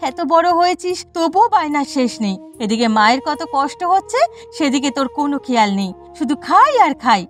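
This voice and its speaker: A woman's voice: high voice, big pitch swings, brisk, faint music behind. A woman playing a weary, exasperated village mother in a cartoon, pleading and scolding. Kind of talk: cartoon voice acting.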